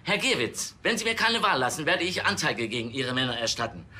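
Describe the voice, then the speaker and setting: An actor playing an indignant, combative lawyer in a TV crime comedy, speaking in a formal, pompous and demanding way. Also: male voice, mid-range voice, big pitch swings, brisk, sharp tone, a little hiss or hum. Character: short, combative, indignant male lawyer in a suit.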